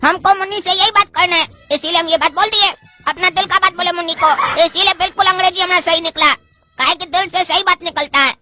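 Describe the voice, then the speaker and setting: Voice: very high-pitched, steady tone, fast talker, over loud music. A voice actor playing a cheeky, lovestruck young male student who keeps talking his way out of trouble. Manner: cheeky, talks his way out of things.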